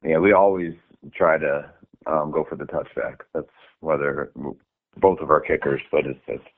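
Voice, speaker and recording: Coach on a call, very low voice, tinny phone sound, easygoing with lots of ums. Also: male voice, normal speed. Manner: relaxed, many "um" and "I mean" fillers. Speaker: head coach. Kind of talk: answering press questions on a conference call. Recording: phone-line audio.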